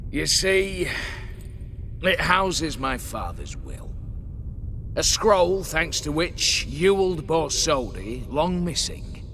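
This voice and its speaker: A man's voice: on the high side, big pitch swings, slow, fairly bright tone, slight background noise, full character voice. An actor playing a sly, scheming young nobleman who speaks with smug mockery, recorded with long reverb.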